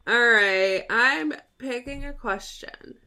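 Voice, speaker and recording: A young woman co-hosts a casual book podcast in a bright, fairly high voice. She rambles and laughs her way through the chat, still drowsy from an early start. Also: slow, a little hiss or hum. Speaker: podcast co-host.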